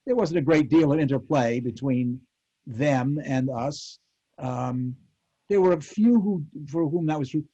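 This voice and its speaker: Older guy telling old stories, rambly and halting, soft mellow voice, dull call audio. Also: a little high. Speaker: panel guest, former child radio actor. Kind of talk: casual reminiscing. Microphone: video call. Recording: muffled lo-fi online call.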